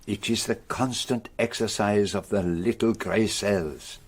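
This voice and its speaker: An ageing Belgian gentleman detective in a radio play, his voice low and his French-speaking Belgian accent unmistakable. He is vain and exact, laying out each phrase with deliberate theatrical care. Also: husky. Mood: musing. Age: older. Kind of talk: radio drama acting.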